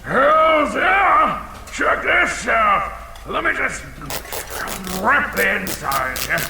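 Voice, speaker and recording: A man voicing a battle-scarred old dragon in an audio drama, fiercely proud and bombastic, with a gravelly, folksy delivery. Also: very high voice, big pitch swings, very slow, exaggerated voice. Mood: blustering, excited. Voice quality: gravelly, creaky. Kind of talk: audio drama character acting. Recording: reverberant chamber, some background noise.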